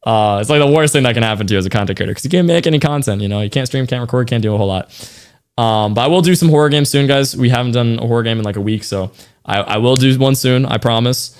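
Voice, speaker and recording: A young man with a slightly raspy voice, worn out after a long stream, chatting casually to his viewers and thanking donors with lots of slang. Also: mid-range voice, fast. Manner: loose, thanks donors, slang-heavy, trails off. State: tired, voice getting raspy. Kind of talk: casual livestream chat to viewers.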